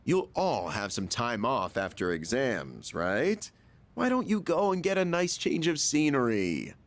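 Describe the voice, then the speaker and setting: An actor playing a breezy, genial chairman in a video game, smooth, theatrical and casually persuasive. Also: mid-range voice, big pitch swings, unhurried. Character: breezy, genial adult male school club chairman.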